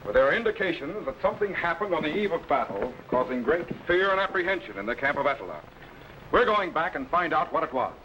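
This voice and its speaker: An actor playing a commanding, knowledgeable scientist captain in a 1950s sci-fi serial, giving crisp orders. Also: male voice, fairly high pitch, very animated, quick pace. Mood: determined. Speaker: time machine captain. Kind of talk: 1950s radio-style sci-fi acting. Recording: dull lo-fi old broadcast with faint hiss.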